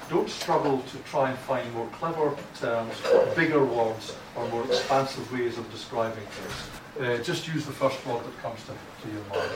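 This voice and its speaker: Scottish male lecturer, blunt, informal, lots of restarts; distant mic, room echo. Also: medium pitch. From Scotland. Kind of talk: academic writing lecture. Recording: echoey room from far off, noisy.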